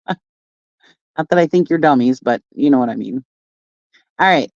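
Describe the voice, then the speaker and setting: A woman's voice: extremely low pitch, expressive, unhurried, darkish tone, no room sound. A woman hosting a casual livestream who rambles, chats with her viewers and laughs often. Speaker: channel host reacting to clips. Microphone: phone. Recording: dry, dull lo-fi sound.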